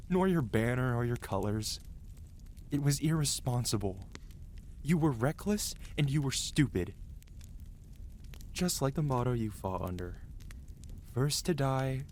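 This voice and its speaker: A man's voice: medium pitch, darkish tone, slight background noise. A man voicing an angry yet protective dragon who scolds a rider in a dramatic, emotional fantasy ASMR roleplay. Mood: angry, pleading.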